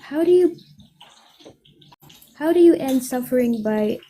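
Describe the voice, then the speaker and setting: Soft-voiced young woman caller. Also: sits high, animated, measured pace, husky, rough recording. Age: 20s. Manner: hesitant, politely insistent.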